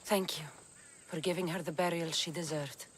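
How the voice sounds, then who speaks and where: An actress playing a tough young mercenary heroine in a video game cutscene, speaking bluntly and plainly. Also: female voice, on the low side, steady tone, moderate pace, slight background noise, lightly acted. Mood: earnest. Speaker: game character.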